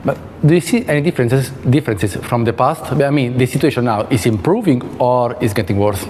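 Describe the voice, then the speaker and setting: A man with an Italian accent hosting an interview, asking questions in halting English with restarts. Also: medium pitch, darkish tone. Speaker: interviewer host. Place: book fair hall. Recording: reverberant hall with faint noise.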